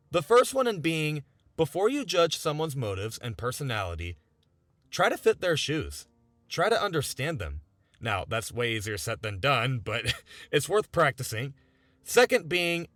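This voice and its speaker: A man's voice: fairly high pitch, a bit bright, no echo. A man in his twenties narrating a scripted video essay in an earnest, emphatic way, often posing rhetorical questions. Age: 20s. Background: background music.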